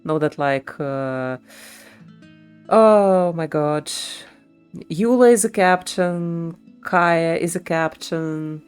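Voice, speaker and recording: A woman's voice: fairly low pitch, very slow, a little mellow, smooth, soft background music. A woman streaming a game with casual live reaction commentary.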